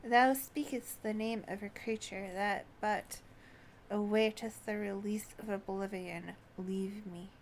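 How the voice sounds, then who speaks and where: An ancient male dragon from a fantasy game, voiced by a woman, speaks slowly and solemnly. The tone is scornful and world-weary, with archaic, measured wording. Faint ambient noise sits behind the voice. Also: medium pitch, steady tone. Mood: cold, weary. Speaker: streamer reading out translated game dialogue. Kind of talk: archaic fantasy dialogue read aloud.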